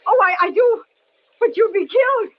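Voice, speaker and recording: A woman's voice: very high voice, moderate pace. An actress playing a frantic, unsettling stranded woman with breathless pleas, in vintage radio thriller style. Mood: ominous, fearful. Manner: breathless, wailing pleas and ominous remarks. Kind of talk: 1940s-50s radio thriller acting. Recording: dull vintage radio recording, faint noise.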